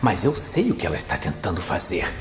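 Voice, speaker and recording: A determined archmage acted out by a man in a fantasy audio drama. He speaks with confident, deliberate taunting. Music is clearly heard underneath. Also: sits low, huge pitch swings, reverberant, voice pushed down. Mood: strained determination. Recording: music behind the voice.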